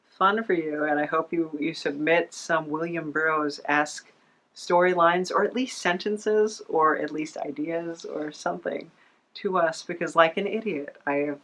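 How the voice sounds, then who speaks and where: A woman's voice: deep voice, a bit bright, rough recording. A woman hosting a podcast in a casual, rambling, self-deprecating chat style. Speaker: podcast host.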